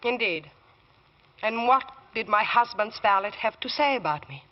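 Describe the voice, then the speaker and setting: An actress as a cool, proud radio-drama widow. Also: female voice, medium pitch, expressive, moderate pace, lightly acted. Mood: cool, guarded. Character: cool, proud widow accused of murder, guarded. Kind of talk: 1940s radio drama acting. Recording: dull, muffled old radio recording.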